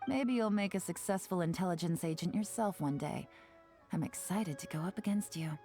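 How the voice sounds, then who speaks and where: A woman voicing a shrewd, playful young spymaster in a video game, teasing and self-assured, with a smooth delivery. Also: lowish voice, normal speed, warm tone, lightly acted. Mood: playful, probing. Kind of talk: scripted video game character dialogue. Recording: game music behind the voice.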